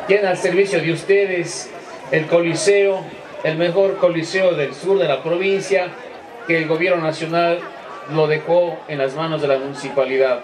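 A middle-aged man gives an official speech in a high voice. It echoes from an outdoor PA over a noisy crowd. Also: steady tone, moderate pace, far from the mic. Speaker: mayor. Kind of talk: public speech. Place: covered outdoor stage. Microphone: handheld microphone.

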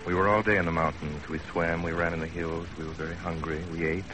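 Man playing earnest lovesick young man, low voice, 1930s radio drama, music behind. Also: flat delivery, fast, close to an everyday voice, rough recording. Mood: wistful, reflective.

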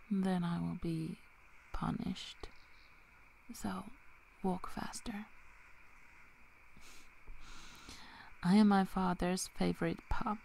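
Asmr lady playing a werewolf girl from the pack, teasing but protective, super slow hushed talk, really crackly fry. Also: mid-range voice. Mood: wary, confiding. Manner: slow, hushed, breathy delivery with long pauses. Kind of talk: ASMR roleplay, soft half-whispered.

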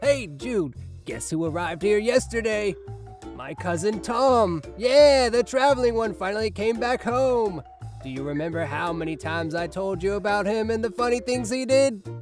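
Man as a jovial, high-pitched folksy cartoon rabbit dad. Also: lively intonation, moderate pace, faint music behind. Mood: excited, jovial. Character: folksy, chatty, oblivious middle-aged father rabbit. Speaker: voice actor in a comic dub.

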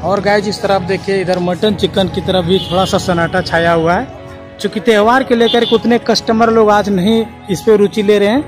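High-pitched man vlogging outdoors. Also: fairly even intonation, fairly quick. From Jharkhand, India. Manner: mixes English words into Hindi. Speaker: vlogger, host. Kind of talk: casual on-the-spot market commentary. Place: busy open-air village market. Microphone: handheld phone at arm's length. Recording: outdoor crowd noise with music behind.

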